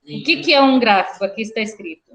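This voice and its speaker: A woman's voice: on the high side, lively intonation, fast talker, a bit bright. A woman teacher giving an online class, dictating text and spelling out the punctuation.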